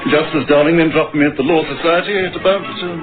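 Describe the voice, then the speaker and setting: An actor playing a hesitant, formal middle-aged lawyer in a radio crime drama. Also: male voice, on the high side, fairly even intonation, fast talker, natural voice. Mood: hesitant, careful. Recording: narrow-band old radio broadcast, music behind.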